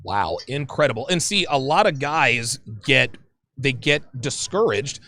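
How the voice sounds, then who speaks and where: A man hosting a casual panel podcast, animated and joking, often laughing, with a high-pitched voice. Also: measured pace, no room sound. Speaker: podcast host.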